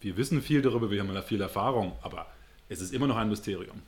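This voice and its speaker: A man's voice: on the low side, racing. A man with a northern German accent, answering interview questions in an earnest, reflective way and searching for words as he goes. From Hamburg, northern Germany. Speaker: interview guest.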